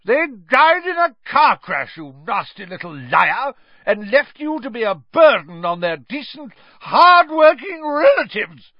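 A man voicing a loud, drunk, bullying middle-aged woman who sneers with contempt. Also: high-pitched, big pitch swings, unhurried, no room sound, highs cut off, voice pushed up, full character voice. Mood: furious.

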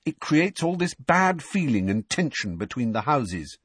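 Male reader as teenage girl know-it-all, raised pitch, husky, brisk, exasperated, lecturing, audiobook character. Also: sing-song, dry recording. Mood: impatient, defensive.

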